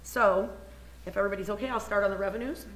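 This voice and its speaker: A woman with a hoarse, scratchy voice presenting a budget report to a council, chatty and informal, thinking aloud as she goes. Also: medium pitch, fast, reverberant. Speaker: municipal finance officer presenting the budget. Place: council meeting room.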